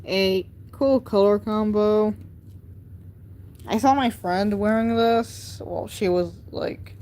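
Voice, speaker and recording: A teenage girl hosting a casual livestream. She talks slowly and rambles through her sentences, padding them with fillers as she holds up crafts and speaks straight to her viewers. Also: mid-range voice, warm tone, a little hiss or hum. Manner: rambling, filler-heavy with many "like" and "um". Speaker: livestream host. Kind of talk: casual livestream chat showing handmade crafts.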